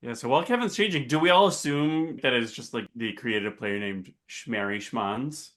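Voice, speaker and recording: A man's voice: medium pitch, normal speed, fairly bright tone. A man co-hosting a podcast, chatting casually with joking banter. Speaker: podcast co-host.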